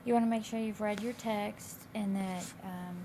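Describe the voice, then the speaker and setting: A woman instructor with a slightly raspy, hoarse voice, explaining coursework in a casual, loosely phrased, conversational way. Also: mid-range voice, steady tone, moderate pace. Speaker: college instructor. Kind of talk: informal explanation of course assignments. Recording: clear background noise.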